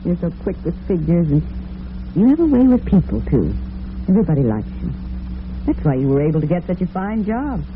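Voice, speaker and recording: An older actress playing a sweet, patient, ailing mother with a gentle, fond manner in old-time radio drama. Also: fairly low pitch, sing-song, brisk. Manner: gentle, fond, self-effacing. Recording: noisy, narrow-band vintage radio recording.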